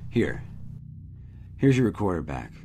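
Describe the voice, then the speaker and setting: A man playing a hesitant, dutiful junior officer with a halting, awkward manner in a naturalistic audio drama. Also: low-pitched, big pitch swings, dark tone. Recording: clear ambient noise behind.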